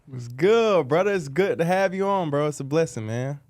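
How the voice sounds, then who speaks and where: A man's voice: on the high side, expressive, normal speed, a little mellow, no echo, clean studio sound. A man co-hosting a casual sports podcast, talking in slangy, hyped buddy talk. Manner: slangy, hyped, says bro and man often. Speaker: podcast co-host.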